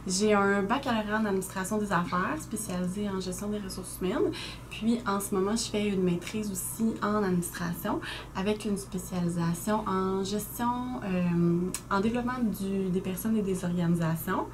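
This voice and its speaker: A woman's voice: medium pitch, fairly even intonation. A young woman from Quebec with a Québécois accent, a newly named executive director speaking earnestly in a radio interview, with frequent hesitations. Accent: Québécois French. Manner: earnest and articulate, with frequent "euh" pauses. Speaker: guest, new executive director. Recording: noisy community radio studio.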